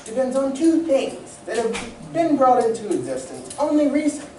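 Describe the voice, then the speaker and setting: A woman playing a proud, excitable, learned inventor in old radio serial style, speaking in a theatrical, professorial way. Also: sits high, normal speed, crowd noise, close to an everyday voice. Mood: proud, explaining. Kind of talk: 1940s radio serial acting. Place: community playhouse stage reading. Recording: distant mic, echoey room, background noise.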